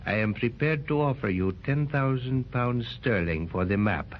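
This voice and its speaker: An actor playing a smooth, sinister villain who speaks in a measured, silky, menacing way, in 1930s radio acting on a dull old recording. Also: male voice, medium pitch, natural voice. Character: smooth sinister older villain, bribing mastermind. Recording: dull, faintly noisy 1930s transcription.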